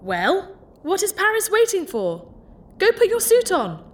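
A woman's voice: very high-pitched, wildly swooping pitch, unhurried, a little hiss or hum, exaggerated voice. A woman playing a childlike, eager, proud ship's computer in a sci-fi audio drama, speaking literally and in the third person.

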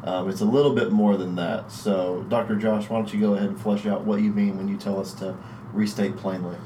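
A man's voice: lowish voice, little pitch movement, brisk, background noise. A man hosting a church podcast, reading a friendly, upbeat intro and asking his guest questions. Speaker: podcast host.

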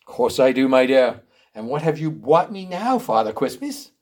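A man's voice: mid-range voice, sing-song, moderate pace. A man playing a wretched, remorseful man with theatrical, melodramatic delivery in a story reading. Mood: jovial banter. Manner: theatrical, anguished declaiming.

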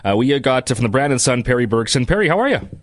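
A man's voice: mid-range voice, very animated, fast. A man hosting a casual sports podcast in an upbeat way. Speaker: podcast host.

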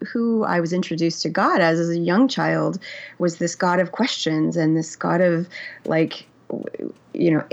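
Gravelly woman author, thoughtful and hesitant. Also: lowish voice, steady tone, unhurried. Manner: reflective, hesitant, many fillers and restarts. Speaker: memoir author, guest. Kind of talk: candid conversational interview. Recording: dull, lo-fi audio.

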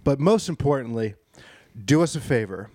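A man co-hosting a podcast, casually plugging the show with loud, profane energy. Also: mid-range voice, lively intonation, moderate pace, dry recording, studio quality. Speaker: podcast co-host. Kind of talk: crude casual podcast banter.

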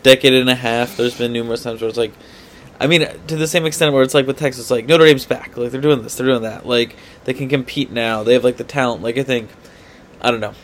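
A man's voice: medium pitch, quick pace. Man debating sports, hedging and emphatic. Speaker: sports podcast co-host.

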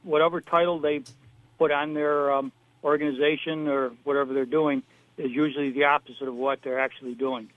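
Man, phone caller, tinny phone line, halting, flat voice, dry. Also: on the high side, dry recording. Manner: halting, full of ums and uhs. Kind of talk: call-in phone comment. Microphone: telephone.

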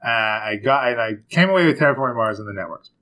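A man's voice: medium pitch, animated, fast talker, bright, forceful tone. Rambling, cursing podcast co-host man. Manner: rambling and profane, laughs often, self-mocking asides. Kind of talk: casual podcast chat, board game talk.

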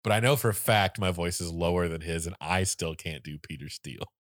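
A man with a deep voice chatting casually and animatedly as a co-host, often laughing and breaking into bits of song. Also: brisk, no room sound, clean studio sound. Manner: animated, sings snippets of songs, laughs often. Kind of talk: casual chat.

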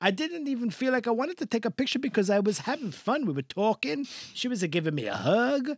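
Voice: mid-range voice, sing-song, fairly quick, slightly rough, no echo, clean studio sound, voice pushed up. Someone doing a gushing, swooping old man. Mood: boastful. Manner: broken English, theatrical swoops up and down, bursts of laughter. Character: older male Italian guest Mister Del Rossi, boastful, gushing, scatterbrained. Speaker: recurring character guest. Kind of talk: improvised comedy bit.

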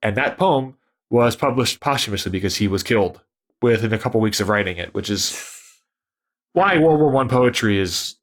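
A man with a fairly low voice talks in a loose, casual podcast chat. He rambles, restarts and corrects himself. His speech keeps an easy conversational flow. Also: normal speed. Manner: rambling, self-correcting, lively.

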